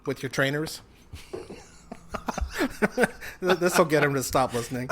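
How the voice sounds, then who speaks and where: Wry man, mid pitch. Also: unhurried, a bit bright, slight background noise. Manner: dry, wry humor, laughs at his own jokes. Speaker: podcast co-host and editor. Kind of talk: casual chat.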